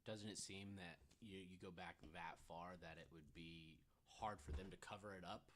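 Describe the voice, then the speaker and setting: A man's voice: deep voice, steady tone, normal speed. A man on a podcast panel casually asking questions, with some noise on the recording.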